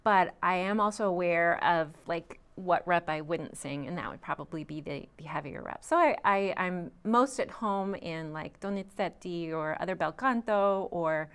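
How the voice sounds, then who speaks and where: A woman's voice: lowish voice, moderate pace, hardly any pauses, fairly bright tone, clear, resonant tone. A young adult woman, a trained singer, answering interview questions thoughtfully with frequent ums and restarts. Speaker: guest vocalist.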